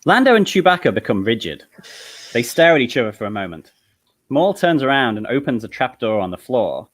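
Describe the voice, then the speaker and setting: A man reads out stage directions as narrator at a live comedy table read. His voice sits at a middle pitch and moves with lively, animated intonation. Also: brisk.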